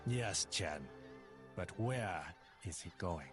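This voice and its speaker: Earnest, expressive ship's captain. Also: male voice, low-pitched, music in the background. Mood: grave. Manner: measured, serious. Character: earnest, dutiful ship's captain, steady and concerned. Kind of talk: dramatic video game cutscene acting.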